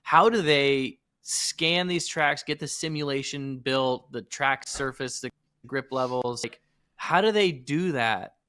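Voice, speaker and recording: A man's voice: medium pitch, slow, bright, forceful tone, clear, resonant tone. A man co-hosting a casual live motorsport interview, conversational and inquisitive. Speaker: co-host of a motorsport show.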